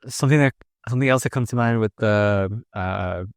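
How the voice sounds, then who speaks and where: Low, clear male co-host. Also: fairly quick, dry recording, studio quality. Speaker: podcast co-host. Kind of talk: casual interviewing.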